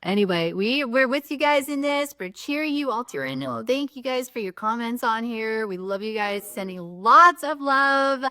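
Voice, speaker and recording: A woman's voice: on the high side, normal speed, few pauses, bright, forceful tone, no room sound, clean studio sound. Encouraging woman, spiritual co-host. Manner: encouraging, affirming. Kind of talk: spiritual talk.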